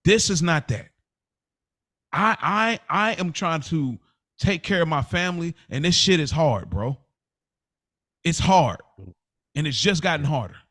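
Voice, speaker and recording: A man's voice: fairly high pitch, animated, measured pace, pauses often, fairly bright tone. A man in his thirties talking candidly and casually on a podcast, emphatic and repetitive, with slangy, confiding delivery. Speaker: podcast host.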